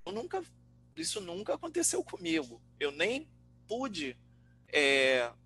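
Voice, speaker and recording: A Brazilian man, a researcher and teacher, talking informally and reflectively in a webinar, halting as he thinks aloud. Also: high voice, wildly swooping pitch, creaky, sharp tone, breathy edge. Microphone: online webinar call. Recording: noisy webinar audio with hum.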